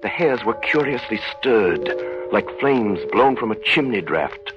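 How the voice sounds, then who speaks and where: A man's voice: lowish voice, big pitch swings, unhurried. A man narrating an old radio drama in a grave, suspenseful, theatrical scripted style. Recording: muffled vintage radio recording. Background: music.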